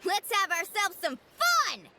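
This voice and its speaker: A woman's voice: very high voice, huge pitch swings, measured pace, exaggerated voice. A woman playing a playful, exuberant and confident young fighter in a video game. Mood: gleeful. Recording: game music behind.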